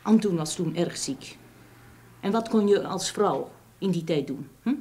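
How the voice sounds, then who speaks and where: An actress playing a frank, scornful, devoted middle-aged wife in a radio drama, with an accent from the Netherlands. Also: female voice, on the low side, animated, measured pace, warm tone. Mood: hurt, resigned. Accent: Dutch from the Netherlands. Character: outspoken, wronged but devoted middle-aged Dutch wife. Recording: old radio play with background hiss.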